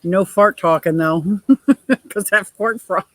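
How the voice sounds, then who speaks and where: A woman in her sixties with a deep voice, hosting a casual livestream, reading viewer comments and laughing often in a gushing, playful way. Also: slow pace, no echo. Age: 60s. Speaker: livestream host.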